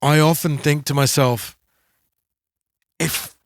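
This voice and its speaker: A man's voice: on the high side, fairly even intonation, slow pace, no room sound, clean studio sound. An Australian man talking casually as a co-host on a music podcast.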